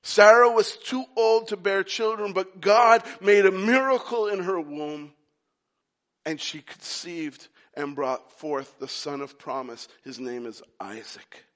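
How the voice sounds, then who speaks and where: High-voiced, slow-spoken preacher. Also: male voice, fairly bright tone. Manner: emphatic, repetitive rhetorical questions. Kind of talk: sermon.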